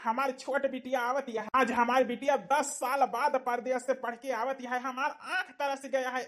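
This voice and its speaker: A woman plays an elderly village master of the house for a cartoon comedy. The voice is high, with a rustic Awadhi accent. The manner is doting and fussy, like family talk at home. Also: level tone, normal speed, fairly bright tone. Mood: fond, proud. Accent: Awadhi, eastern Uttar Pradesh. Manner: fond, fussing, folksy.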